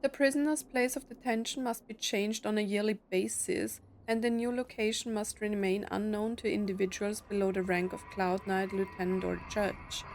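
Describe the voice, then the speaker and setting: A woman's voice: mid-range voice, fairly even intonation, moderate pace, few pauses, slight background noise. Woman playing and reacting to a game. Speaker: gameplay streamer. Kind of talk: casual game reactions and reading text aloud.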